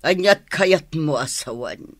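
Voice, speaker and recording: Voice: mid-range voice, animated, slow, a bit bright, dry recording, clean studio sound, exaggerated voice. A performer playing a confrontational, defensive middle-aged mother in a Filipino radio drama. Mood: irritated.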